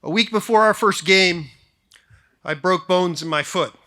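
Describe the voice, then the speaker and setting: Bright-voiced American man giving a formal speech. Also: high voice, measured pace. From grew up in Japan, then suburban Detroit, US. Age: 40s. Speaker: school faculty member giving a valedictory speech. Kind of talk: prepared formal speech to graduating class.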